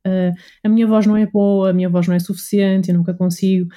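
A woman's voice: mid-range voice, flat delivery, fast, dark tone. A woman with a European Portuguese accent giving conversational explanations as an interview guest, articulate and often listing her points. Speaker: voice and communication coach, interview guest.

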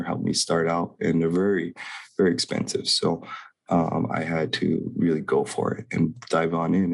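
A man's voice: low-pitched, vocal fry, husky. A middle-aged man from Hawaii, a real estate investor, talking in a laid-back, rambling way and laughing often over a video call. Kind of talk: casual interview talk.

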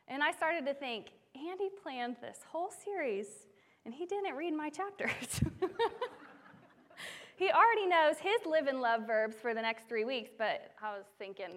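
A young woman preaching from a church stage, telling stories in an animated, self-deprecating way. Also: high-pitched, measured pace. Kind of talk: sermon. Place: church sanctuary.

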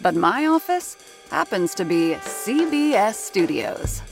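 A woman's voice: mid-range voice, sing-song, takes it slowly, music in the background. A woman playing a wry, unflappable radio sound-effects worker who tells her story in an audio drama with dry, confiding sarcasm. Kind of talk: audio drama narration and dialogue.

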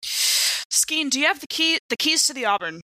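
A woman's voice: sits high, big pitch swings, normal speed, pushed and bright, dry recording, low-quality recording. A woman playing a tabletop role-playing game, playfully reacting and casually improvising for her character. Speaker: tabletop game player on a podcast.

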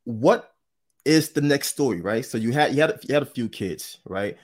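A man's voice: mid-range voice, expressive, moderate pace. A man hosting an interview podcast, upbeat and chatty, with frequent fillers and restarts. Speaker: podcast host.

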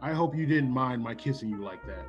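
An actor playing a forward, blunt suitor in a classic radio play, theatrical and sometimes stammering. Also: male voice, medium pitch, animated, fairly quick, lo-fi sound, close to an everyday voice. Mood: courting. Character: brusque, forward male suitor courting a young woman. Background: music behind the voice.

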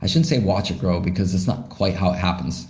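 A man's voice: sits low, expressive, brisk, darkish tone. A man, a startup founder, answering interview questions in a thoughtful way, with many fillers and restarts. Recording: roomy echo.

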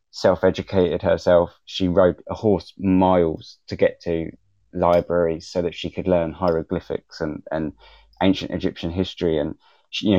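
A man with a very low voice speaks casually on a panel. He is enthusiastic and halting, and the recording is dull. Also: mellow, no echo. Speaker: podcast host and panel guest. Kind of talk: casual live panel talk.

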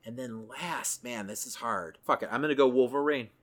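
A man's voice: medium pitch, normal speed, a bit bright. A man co-hosting a podcast, chatting casually and thinking aloud as he goes. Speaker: podcast co-host. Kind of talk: casual chat about comic book films.

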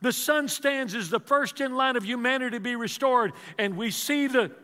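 A male pastor with a high, bright voice preaches to his congregation and throws questions out to them as he tells the story. The reverb of a large sanctuary trails every phrase. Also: moderate pace. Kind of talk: sermon.

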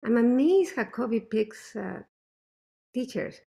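A woman with a thick non-native accent, a mother telling animated stories as an interview guest. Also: a little high, takes it slowly, creaky. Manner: animated, emphatic storytelling. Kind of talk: conversational interview.

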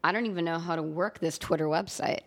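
A woman's voice: lowish voice, fast talker. A young woman with a slight lisp, co-hosting a casual podcast in a dry, self-deprecating way. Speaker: podcast co-host. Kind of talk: casual chat.

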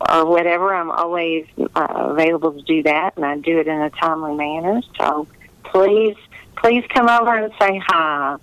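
A woman's voice: fairly low pitch, fairly bright tone, no echo, low-quality recording. A woman chatting casually as an author being interviewed, gracious and enthusiastic in the way she talks.

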